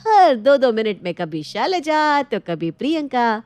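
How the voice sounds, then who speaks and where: Woman, storyteller, scripted moral-tale narration, fairly high voice, dry studio-like sound. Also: very animated, a little slow, a little mellow, clear, resonant tone, dry recording.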